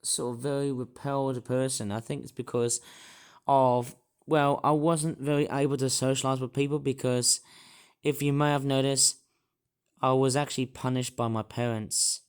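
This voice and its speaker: A man with a hoarse, croaky voice, rambling hesitantly through a personal vlog monologue with many restarts. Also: medium pitch, little pitch movement, normal speed. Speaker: YouTuber.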